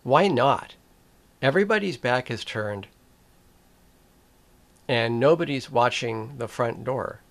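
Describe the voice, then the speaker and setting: Slow, deliberate older man musing. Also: mid-range voice, expressive, creaky. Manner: deliberate, with pauses and restarts, wry. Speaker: video essay host. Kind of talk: slow reflective commentary to camera.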